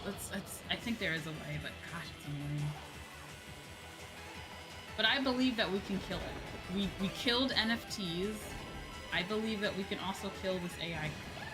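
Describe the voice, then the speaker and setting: A woman with a medium-pitched voice chats casually on a gaming livestream. She is opinionated and emphatic and swears freely. Game music plays clearly behind her. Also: expressive, normal speed, rough recording. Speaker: streamer, VTuber host. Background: video game music.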